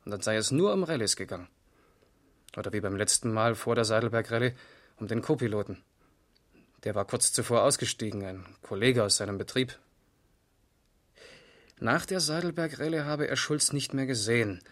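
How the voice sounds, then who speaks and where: A man's voice: medium pitch, moderate pace, pauses often, a bit bright, close to an everyday voice. An actor playing a matter-of-fact, precise male police investigator in a radio crime drama. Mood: sober, reporting. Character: sober, methodical male East German police investigator. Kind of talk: 1970s German radio crime drama acting.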